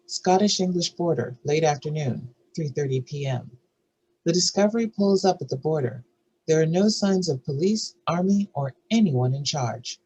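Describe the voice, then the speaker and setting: Casting: male narrator for screenplay directions, slightly high, husky voice. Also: a little mellow, low-quality recording. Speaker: narrator reading stage directions.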